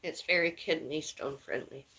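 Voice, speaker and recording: A woman with a raspy voice, rambling casually on a live stream from her home kitchen. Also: sits low, moderate pace, pushed and bright, poor audio. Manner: rambling, chatty, calls out to someone in another room. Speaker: vlogger.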